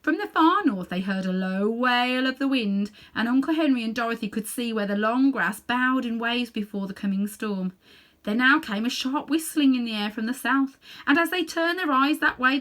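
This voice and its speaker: A woman reading a children's story aloud in an animated way, with dramatic pauses and hushed little asides. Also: fairly high pitch. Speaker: storybook reader.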